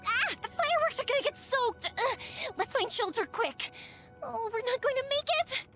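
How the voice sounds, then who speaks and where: An actress voicing a cheerful, chatty young woman who makes fireworks in a video game, playful and expressive. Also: very high-pitched, moderate pace, music in the background. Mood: excited, playful. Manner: bubbly, expressive, talkative. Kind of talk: animated video game character voice acting.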